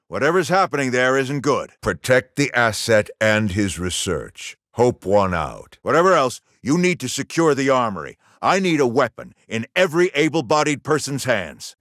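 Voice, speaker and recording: A man plays a stern, middle-aged American army general briefing troops in a video game. His pitch moves widely as he hands out clipped, authoritative orders, and the sound is close and dry. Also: fairly bright tone, no room sound, lightly acted. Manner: clipped, authoritative military delivery. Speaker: military commander.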